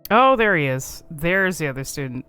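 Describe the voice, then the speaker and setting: A woman's voice: deep voice, very animated, moderate pace, bright, forceful tone, clean tone, soft background music. A woman giving casual, off-the-cuff commentary on a video game in a rambling, informal, amused manner.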